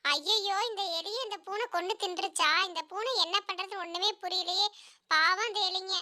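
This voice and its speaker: A woman's voice: very high-pitched, normal speed, pushed and bright, full character voice. A woman voicing small animals in a cartoon moral story, childlike and earnest. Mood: distressed. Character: small cartoon animals: orphaned mouse child, caring mother bird.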